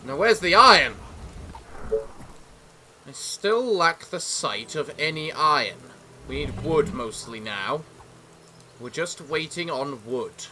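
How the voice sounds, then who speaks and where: Lively high-voiced English man. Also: slow, pauses often, a little hiss or hum. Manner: theatrical gentleman persona, grand greeting. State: sick, voice sounds off. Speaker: gaming YouTuber. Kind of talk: casual let's-play commentary.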